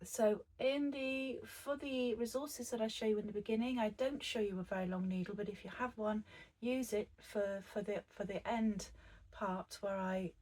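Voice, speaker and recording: A woman with a croaky, husky voice after a recent virus, talking viewers through an embroidery project. Her tone is mellow and dark, and her pitch is ordinary. She speaks in a chatty, meandering way, full of fillers and restarts. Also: steady tone, moderate pace, poor audio. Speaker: embroidery teacher. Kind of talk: craft tutorial narration.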